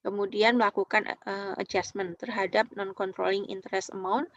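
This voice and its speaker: Female Indonesian lecturer, medium pitch, dry but dull lo-fi sound, halting explanatory delivery with frequent check-in tags. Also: steady tone, dry recording. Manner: halting, often checks in with short tag words. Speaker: university lecturer. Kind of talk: online accounting lecture, explanatory.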